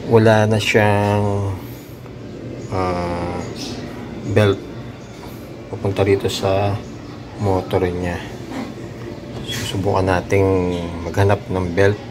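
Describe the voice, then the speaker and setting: A Filipino man giving a casual hands-on tutorial, thinking aloud in a halting way as he works. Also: sits low, level tone, background noise. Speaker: DIY repair vlogger.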